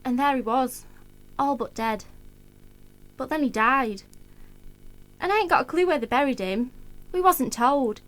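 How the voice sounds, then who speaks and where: Actress as a very high-voiced blunt gossip. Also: female voice, unhurried, long pauses, fairly bright tone, poor audio. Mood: matter-of-fact. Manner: blunt, slangy. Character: matter-of-fact working-class woman gossiping about neighbours. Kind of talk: colloquial radio play dialogue.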